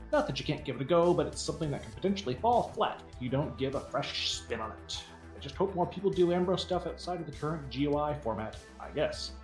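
A man co-hosts a casual podcast with an animated, widely swinging delivery. He is enthusiastic and swears freely. The sound is roomy and echoey, with faint music underneath. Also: medium pitch. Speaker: podcast co-host. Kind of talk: casual chat.

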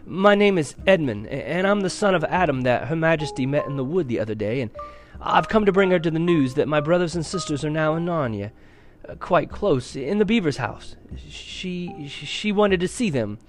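A man's voice: medium pitch, animated, moderate pace, faint music behind, natural voice. A man voicing a nervous young boy, eager to please, with a hesitant stammer in a storybook reading. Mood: trembling.

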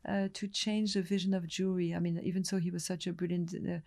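A middle-aged woman from Lebanon talks through her stories in a loose, meandering way, full of fillers and restarts. Her voice sits low with a gravelly, creaky edge. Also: little pitch movement, quick pace, darkish tone. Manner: hesitant, many restarts and fillers, chatty storytelling. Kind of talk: relaxed interview conversation.

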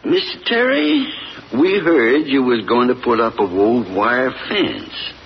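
An actor playing an older rural father and hound keeper who talks in a calm, firm, folksy drawl in a 1940s radio drama, on a dull old recording. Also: mid-range voice, slow pace, slight background noise.

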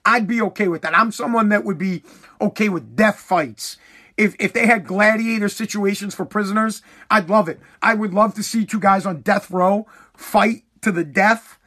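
Heated man ranting, high voice. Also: normal speed, bright, forceful tone. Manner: heated, blunt ranting with profanity. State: losing his voice. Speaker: podcast host. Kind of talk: ranting solo podcast.

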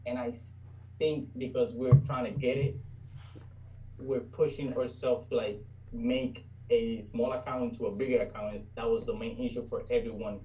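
A young man speaks as a podcast guest. His voice is mellow and dark and sounds a little far from the mic. He often pauses and hunts for the right words. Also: medium pitch, little pitch movement, a little hiss or hum. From Puerto Rico. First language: Spanish. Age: 20s. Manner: hesitant, searching for words. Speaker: guest trader. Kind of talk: casual interview.

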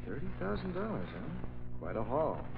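A man acting a calm, authoritative, inquisitive newspaper editor in an old-time American radio drama. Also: fairly high pitch, moderate pace, gravelly, music in the background, exaggerated voice. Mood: probing, thoughtful. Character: calm, probing male newspaper editor in charge. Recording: muffled old radio recording.

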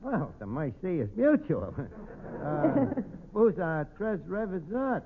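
A man's voice: high voice, sing-song, slow, exaggerated voice. An actor playing a bumbling, flirtatious tavern manager in 1940s radio comedy, posing as a suave Frenchman with mangled French. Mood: flirtatious bluffing. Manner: fractured French, malapropisms, flirtatious blustering. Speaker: comic lead playing a tavern maitre d'. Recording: scratchy old radio broadcast, narrow band.

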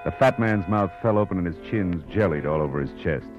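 A man's voice: low-pitched, animated, a little fast. A man acting a tough, wry private detective in a 1940s American radio drama, clipped and dryly sarcastic. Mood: brooding narration. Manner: clipped hardboiled delivery, dry sarcasm. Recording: muffled vintage radio recording with music.

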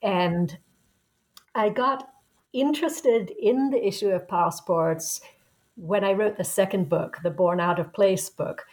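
A woman in her sixties, a professor being interviewed as a guest, telling her story in a reflective, unhurried way. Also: mid-range voice, a little mellow, low-quality recording. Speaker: guest author, anthropology professor. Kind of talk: conversational interview answer.

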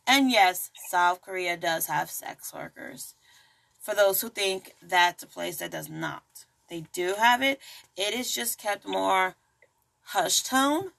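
A woman's voice: medium pitch, slow, gravelly, fairly bright tone. A woman hosting a true crime podcast in a casual, chatty way, full of asides and jokes. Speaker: podcast host.

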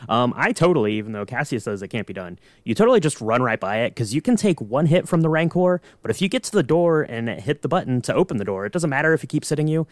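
A man's voice: mid-range voice, sing-song, fast talker, hardly any pauses, no echo. A man on a podcast panel, chatting casually in an easygoing, joking way. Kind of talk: casual chat.

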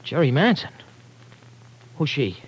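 Streetwise, evasive radio-drama guy, husky and high. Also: sing-song, a little slow, heavily acted, rough recording. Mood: evasive, nervous. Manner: streetwise slang, hesitant and stammering under pressure. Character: evasive, slangy young male errand runner with streetwise talk. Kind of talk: old-time radio drama acting.

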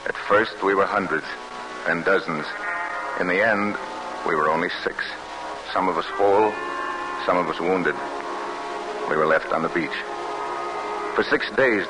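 An actor playing a grave, guarded Polish soldier telling of his wartime escape, earnest and deliberate, in an old-time radio drama. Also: low voice, rough recording. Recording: hissy vintage radio broadcast.

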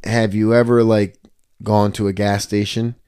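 A man's voice: on the low side, level tone, unhurried, darkish tone, clear, resonant tone, dry recording. A young man co-hosting a podcast in casual chat, telling stories animatedly and acting out quotes in different voices. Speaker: podcast co-host.

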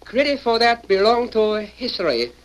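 A man's voice: high voice, very animated, normal speed. An actor playing a humble, courteous Chinese man in an old-time radio Western, speaking with formal, ornate and solemn phrasing. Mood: gently wise. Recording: faintly noisy old radio recording.

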